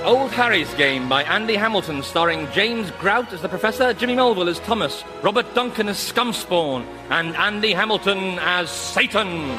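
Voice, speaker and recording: A male announcer reads scripted credits over loud music. His voice is high and moves in a very animated way from name to name. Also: moderate pace, hardly any pauses. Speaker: continuity announcer reading credits.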